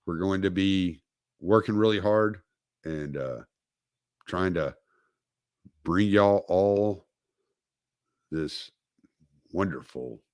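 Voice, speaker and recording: A man hosting a casual livestream talk in an unhurried, low voice. He is laid-back, chuckles, and lets words trail off. The sound is dry and close. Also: a little mellow, no room sound. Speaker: host.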